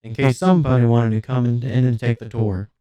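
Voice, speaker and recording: Male narrator as old robot butler, mellow tone, smooth and resonant, formal, servile, apologetic, lonely, storybook character. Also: medium pitch, steady tone, fairly quick, dry recording, dull audio, natural voice. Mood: proud, polite.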